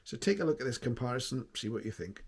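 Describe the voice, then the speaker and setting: A man's voice: mid-range voice, expressive, quick pace, a little mellow, breathy edge, no echo. A man giving an informal, matter-of-fact product demonstration, explaining things as he goes. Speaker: presenter of a radio receiver review.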